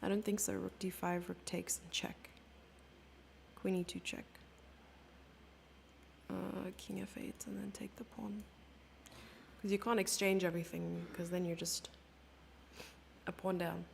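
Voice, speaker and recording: South african lady doing live chess commentary, chatty, reads chat out loud, slight faint hiss behind. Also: on the low side, little pitch movement, a little slow, gravelly, long pauses. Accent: South African English. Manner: chatty, reads chat questions aloud and answers them.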